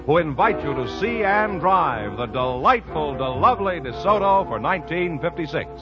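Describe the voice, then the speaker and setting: A man announcing a radio quiz show and its sponsor in a scripted, lively way. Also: a little high, moderate pace, dull audio. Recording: 1950s radio broadcast over loud music.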